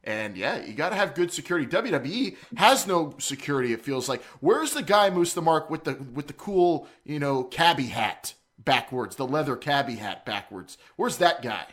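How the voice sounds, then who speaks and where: A man's voice: fairly high pitch, sing-song, moderate pace, hardly any pauses, fairly bright tone. Joking, rambling male podcast host. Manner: playful, joking, rambling with restarts. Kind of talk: casual wrestling talk.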